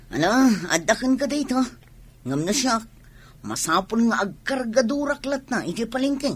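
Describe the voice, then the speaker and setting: A woman playing a devoted, hardworking young spouse with a husky voice and an Ilocano accent in a radio drama. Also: on the high side, very animated, moderate pace. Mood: affectionate.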